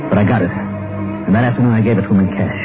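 An actor playing a desperate, ruined middle-aged husband who also narrates, in a 1950s radio drama. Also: male voice, on the low side, steady tone, fast, lightly acted. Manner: earnest, strained. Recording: tinny old radio broadcast with music.

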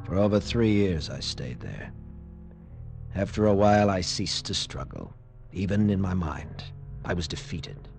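An actor playing a haunted, defiant captive lieutenant, telling his ordeal with grave intensity and dramatic pauses in an old radio drama. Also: deep voice, lively intonation, vocal fry, music in the background, full character voice. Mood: bitter, resigned. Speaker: narrator and protagonist. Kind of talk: dramatic first-person radio narration, 1940s-50s.

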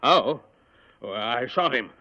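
A man's voice: mid-range voice, expressive, takes it slowly. An actor playing an indignant, protective older father in an old-time radio crime drama. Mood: insistent. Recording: dull vintage radio recording.

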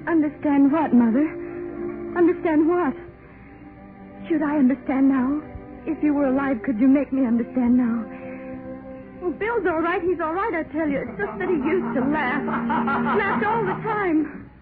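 An actress voices a tender young bride with a high voice and an emphatic, sentimental delivery. It is 1930s radio drama, narrow in sound, with faint music behind. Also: female voice, normal speed, long pauses, close to an everyday voice. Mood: anguished pleading. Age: young adult. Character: adoring, earnest young bride, tender and emotional. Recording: thin, muffled 1930s radio recording.

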